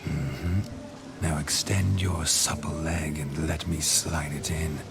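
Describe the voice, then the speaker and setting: A man's voice: a bass voice, fairly even intonation, slow, vocal fry, full character voice. A man playing a brash, boastful, lecherous nobleman in a video game, with smug teasing delivery. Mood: smug, flirtatious. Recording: loud background music.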